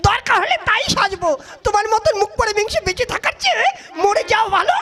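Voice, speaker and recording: Shrill, nagging village wife puppet. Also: male voice, expressive, pushed and bright, exaggerated voice. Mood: scornful, scolding. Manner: shrill, theatrical, drawn-out wheedling and scolding. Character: nagging, greedy village wife puppet, turning sugary-sweet. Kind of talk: comic folk puppet-play acting.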